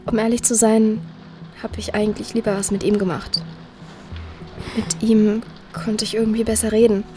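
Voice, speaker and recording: A woman's voice: fairly high pitch, flat delivery, normal speed, over music, close to an everyday voice. A young woman playing a candid, shaken teenage daughter in an audio drama, speaking informally. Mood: candid, chatty. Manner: informal, youthful. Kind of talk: audio drama acting, podcast chat.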